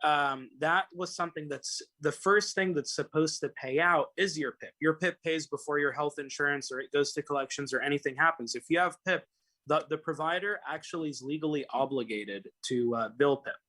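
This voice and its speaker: A man's voice: on the high side, brisk, pushed and bright. A young man from Los Angeles, a personal injury attorney, talking casually as a guest with lots of fillers and restarts. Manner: rambling, many fillers and restarts. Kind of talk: casual call-in talk.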